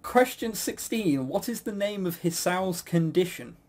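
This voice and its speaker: A man's voice: high voice, animated, measured pace, darkish tone. A man hosting a quiz in a casual, jokey, teasing way. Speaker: quiz host.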